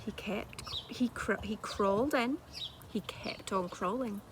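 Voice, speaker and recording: A woman narrating a children's storybook like a teacher reading aloud, with measured, dramatic delivery. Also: medium pitch. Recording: clearly noisy home-made recording.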